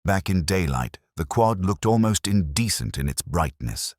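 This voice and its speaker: A man with an extremely low voice narrates a detective story in the first person. His delivery is measured and literary, with expressive rises and falls in pitch. The recording is close and dry. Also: no echo.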